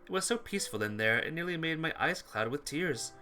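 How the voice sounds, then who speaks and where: Man, dramatic visual novel narration, animated, bright forceful voice, theatrical, music behind. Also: a little high, brisk. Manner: expressive, theatrical. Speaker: playthrough host.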